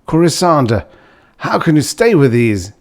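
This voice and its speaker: A man voicing an earnest, naive, priggish young man in an audiobook story, stiff and indignant, halting as he searches for words. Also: a little high, big pitch swings, a little mellow, pitched above the performer's own voice.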